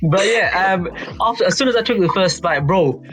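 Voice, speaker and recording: A young British man casually telling an anecdote to friends in animated slang, repeating himself and checking that they follow. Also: high voice, moderate pace, soft background music, poor audio. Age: young adult. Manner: animated slangy storytelling, repeats himself, seeks agreement with tags. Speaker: youth advisory board member on a podcast.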